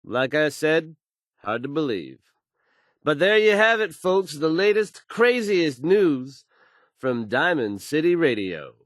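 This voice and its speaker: An actor playing a confident, smooth male radio news host in a video game, folksy and dramatic in his announcing. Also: on the high side, big pitch swings, takes it slowly, no echo, studio quality. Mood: showy intrigue.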